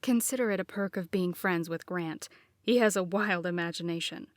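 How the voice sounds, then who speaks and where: A woman voicing a quiet, laid-back young man who speaks low and dry, in a dry recording. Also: moderate pace, dry recording, close to an everyday voice. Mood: teasing.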